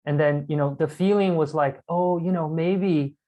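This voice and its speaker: A man's voice: fairly high pitch, fairly even intonation, moderate pace, dark tone. A man from Brazil, a podcast guest, telling his story in a candid, reflective and self-amused way over a video call. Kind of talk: casual storytelling conversation.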